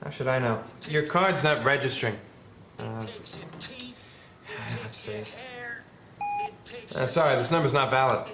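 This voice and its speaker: A woman's voice: very deep voice, measured pace, pauses often. A woman voicing a blunt, dismissive store clerk. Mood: curt. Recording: roomy sound with crowd behind.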